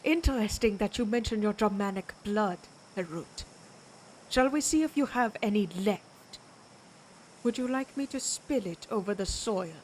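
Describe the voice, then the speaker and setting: A woman playing a proud, menacing vampire warrior in an audio roleplay, speaking deliberately with an imperious, taunting manner. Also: mid-range voice, lively intonation, noisy background, natural voice. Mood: threatening.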